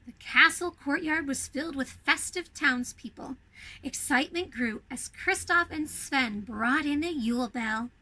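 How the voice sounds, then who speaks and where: A woman reading a children's storybook aloud in a playful, expressive way, speaking to young listeners. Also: high voice, measured pace, rough recording.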